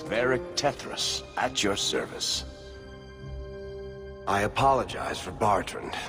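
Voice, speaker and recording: An actor playing a roguish, smooth-talking male dwarf storyteller in a video game, with a wry, theatrical, persuasive way of talking. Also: lowish voice, animated, slow. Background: game music.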